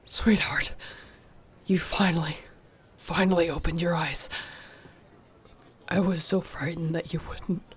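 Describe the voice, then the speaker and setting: A woman playing a protective, tender orc warrior girlfriend in an intimate audio roleplay, speaking in a soft, earnest way. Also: on the low side, fairly even intonation, unhurried, pauses often, husky. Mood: tender, relieved. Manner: soft, earnest, soothing, partly whispered.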